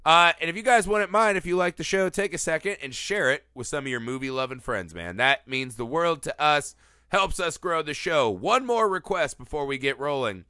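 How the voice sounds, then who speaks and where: A man hosting a casual film podcast, animated and teasing, a little sniffly from a cold. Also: a little high, moderate pace, clear, resonant tone, no echo, studio quality. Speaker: podcast host. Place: Los Angeles area.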